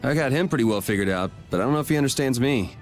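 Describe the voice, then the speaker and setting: A man's voice: medium pitch, expressive, very fast, faint music behind, lightly acted. A man playing a cool, easygoing young swordsman in an anime-style video game, speaking in a casual, dry way.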